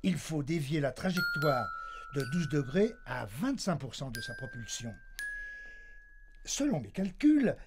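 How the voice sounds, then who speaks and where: An actor playing an older man calling in to a game show, hoarse and hesitant, stammering with many fillers as he claims to be forty. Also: on the high side. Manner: hesitant, stammering, full of "euh". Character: older man caller posing as forty, evasive. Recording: noisy recording.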